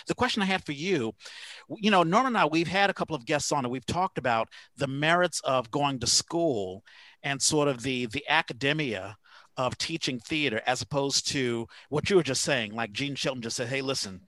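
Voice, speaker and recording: A man's voice: mid-range voice, moderate pace, pushed and bright, dry recording, poor audio. An American man co-hosting a podcast interview, rambling conversationally as he thinks his way toward a question. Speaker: podcast co-host.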